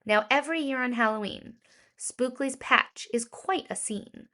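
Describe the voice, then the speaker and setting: A woman reading a rhyming children's story aloud in an expressive, deliberate storytelling manner with emphasized words. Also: high-pitched. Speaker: children's storybook reader, educator.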